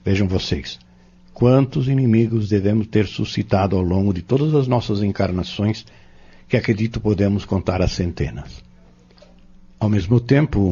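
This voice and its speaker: Man playing a low-voiced, husky Brazilian spiritual mentor. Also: dark tone. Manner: formal, measured, preacherly. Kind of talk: audio drama acting, didactic monologue. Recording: dull lo-fi audio.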